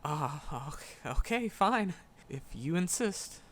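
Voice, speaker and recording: A man's voice: a little high, expressive, takes it slowly, slight background noise. A man playing a shy, nervous magic professor in a roleplay audio drama, skittish and earnest, stammering and repeating words as he talks to the listener. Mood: flustered.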